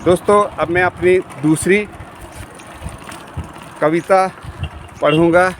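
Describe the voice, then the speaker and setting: A man in his forties, a poet, reciting his own verses in a measured, rhythmic way, pausing between lines, while riding a bicycle outdoors. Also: sits high, fairly even intonation, slight background noise. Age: 40s. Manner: measured, rhythmic reading with pauses. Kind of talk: poetry recitation while cycling.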